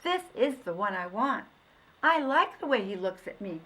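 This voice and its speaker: A woman's voice: medium pitch, sing-song, moderate pace, pushed and bright, low-quality recording. A woman voicing an earnest little boy who firmly insists in a storybook.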